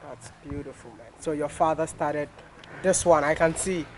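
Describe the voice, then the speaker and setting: High-voiced, teasing male interviewer. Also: fairly even intonation, darkish tone, slight background noise, low-quality recording. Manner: playful, teasing, quick to laugh. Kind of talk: casual on-site interview. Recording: music playing under the voice. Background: music.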